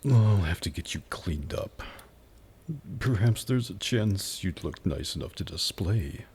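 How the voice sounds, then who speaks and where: ASMR demon buyer, acted by a man: very deep, gravelly, mocking and flirtatious, predatory, breathy close-mic delivery. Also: expressive, darkish tone, a little hiss or hum. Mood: musing, menacing. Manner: slow, taunting, condescending purr. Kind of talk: ASMR roleplay acting.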